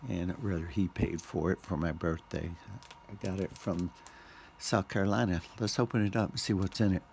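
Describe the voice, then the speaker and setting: A man giving casual, unscripted unboxing commentary as a vlogger, speaking in short clipped remarks with pauses. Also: low voice, steady tone, vocal fry, darkish tone, slight background noise.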